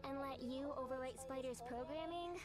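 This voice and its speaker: A woman's voice: high voice, normal speed, close to an everyday voice. A woman voicing a guarded, sardonic young female mech pilot in a video game. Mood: wary, defiant. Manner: sardonic, skeptical. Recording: game audio with loud music.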